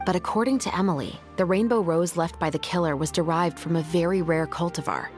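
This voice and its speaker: A woman playing an earnest, dutiful young patrol captain in a video game, with a formal, measured delivery and music behind her. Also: on the low side, close to an everyday voice. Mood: composed, explanatory.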